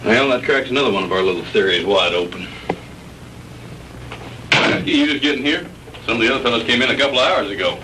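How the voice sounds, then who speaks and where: An actor playing a businesslike police lieutenant in a 1960s B-movie. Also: male voice, medium pitch, lively intonation, fast talker, pauses often, close to an everyday voice. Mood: brisk, questioning. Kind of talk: 1960s B-movie cop dialogue. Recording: noisy 1960s film soundtrack.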